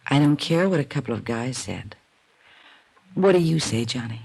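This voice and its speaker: A young widow in a vintage radio crime drama, sweet on the surface and anxious beneath. Her pitch swings widely as she coaxes, insists and pleads. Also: animated, moderate pace, creaky, darkish tone, breathy edge, exaggerated voice, low-quality recording. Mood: coaxing. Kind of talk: 1950s American radio detective acting.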